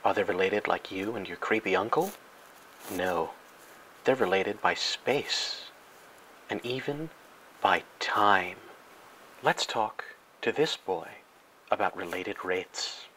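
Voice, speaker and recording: A man narrating a parody educational film in a mock-serious, grandiose and deadpan way. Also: deep voice, takes it slowly, pauses often, a little hiss or hum, rough recording. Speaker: narrator and host.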